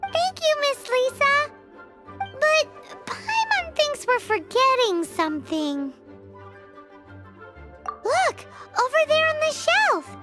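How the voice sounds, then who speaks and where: A woman voicing a small childlike fairy companion in a video game, squeaky, excitable and cheeky. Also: slow pace, long pauses, heavily acted. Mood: chirpy, curious. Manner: refers to herself in third person, exclamatory. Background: music.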